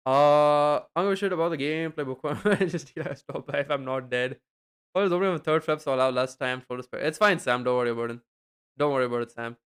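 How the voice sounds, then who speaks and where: A man's voice: a little high, fast talker, a bit bright, smooth. A young man streaming late at night, chatting loosely with his viewers, full of fillers and casual swearing. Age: young adult. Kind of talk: casual stream chat reading chat.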